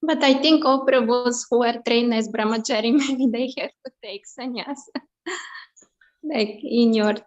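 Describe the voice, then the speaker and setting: A woman with a slightly high voice who joins an online Q&A session. She asks her questions respectfully and answers the teacher with short, deferential agreement. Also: measured pace, muffled recording. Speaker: participant asking questions.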